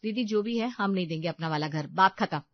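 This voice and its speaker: A woman's voice: medium pitch, fairly quick, dry recording, highs cut off, lightly acted. A woman voicing a bossy, resentful young sister-in-law in a story, scolding and emphatic. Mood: defiant.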